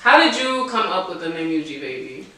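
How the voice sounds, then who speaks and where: A woman's voice: fairly low pitch, sing-song, a little fast, sharp tone. A young woman hosting a casual interview, chatting and asking questions. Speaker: interviewer and host. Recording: very echoey room, distant mic, faint noise.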